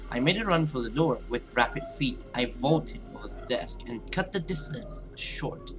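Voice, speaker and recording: A man's voice: medium pitch, lively intonation, moderate pace, music in the background. A man hosting a gaming video, reading story text aloud dramatically and reacting with casual asides.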